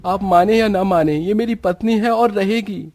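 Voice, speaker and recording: An earnest young newlywed husband in a family moral story, played by a man. His voice is very high for a man, with a mellow tone. He speaks insistently in a dry, echo-free recording. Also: moderate pace, natural voice. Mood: earnest, firm. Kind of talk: dramatised moral story acting.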